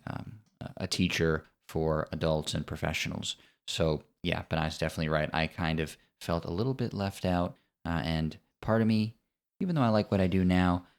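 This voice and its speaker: Deep-voiced American man co-hosting. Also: smooth. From United States. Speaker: podcast co-host. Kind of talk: casual podcast chat.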